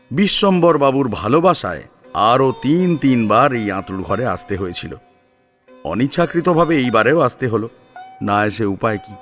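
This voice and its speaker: A man narrating a scripted story in an expressive, dramatic storytelling manner. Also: medium pitch. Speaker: narrator and co-presenter.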